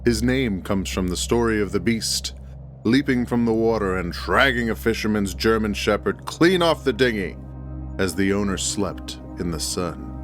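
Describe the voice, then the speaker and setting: A man's voice: on the low side, expressive, moderate pace, lightly acted. Male narrator as a joking male friend in a horror story, theatrical tall-tale delivery, music underneath. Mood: mock dramatic. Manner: theatrical, mock-serious. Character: joking male friend reading tall tales with mock drama.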